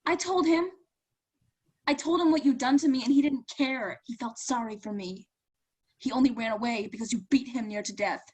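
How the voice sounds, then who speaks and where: A woman's voice: on the high side, normal speed, a little mellow, slightly rough. A woman in a play reading, acting a defiant young woman who stands up to her abuser.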